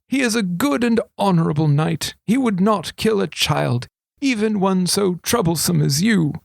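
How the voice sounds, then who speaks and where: A man voicing an old male wizard regent who is formal, paternal and dryly playful, speaking slowly and deliberately. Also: high-pitched, lively intonation, creaky, no room sound, studio quality, voice pushed up, full character voice. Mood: wry. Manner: slow, deliberate, grandly formal. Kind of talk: audiobook character voice.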